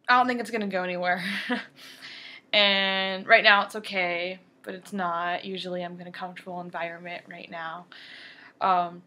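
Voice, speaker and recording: A young woman in her early twenties talks openly to camera in a clear, bright voice. She stutters at times, repeating words and breaking off sounds, and fills gaps with ums. Her tone stays earnest and candid. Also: lowish voice, steady tone, normal speed. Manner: earnest and open, with fillers and brief laughs. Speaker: vlogger. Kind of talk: candid personal talk to camera.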